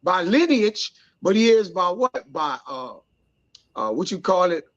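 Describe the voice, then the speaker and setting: A man's voice: sits high, big pitch swings, unhurried. A man teaching scripture informally as a study elder, colloquial and prompting listeners with questions, in lo-fi sound. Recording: dull lo-fi audio.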